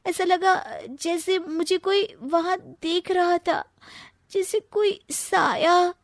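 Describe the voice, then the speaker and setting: A woman's voice: very high voice, slow pace, no echo, studio quality, pitched above the performer's own voice. A woman voicing a timid, aggrieved but playfully scheming young sister-in-law in a comic family story. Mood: fearful pleading.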